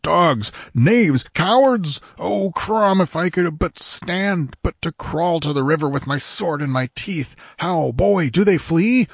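A man voicing a proud, wounded warrior king in a fantasy audiobook, forceful and groaning. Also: medium pitch, normal speed, husky, no echo, clean studio sound, lightly acted. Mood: furious, bitter. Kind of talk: dramatic fantasy audiobook character voice.

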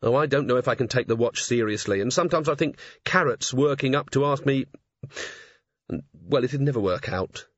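A man's voice: medium pitch, brisk, no echo, lightly acted. A man voicing a guarded young werewolf woman who speaks curtly and dryly. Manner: dry, curt. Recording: slightly dull lo-fi audio.

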